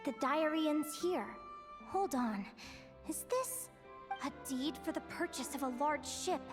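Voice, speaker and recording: A very high, lively voice for a cheerful young woman adventurer in an anime game. She muses to herself with open wonder and expressive swings, with clearly audible music behind her. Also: unhurried. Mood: curious, wondering. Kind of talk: anime video game voice acting.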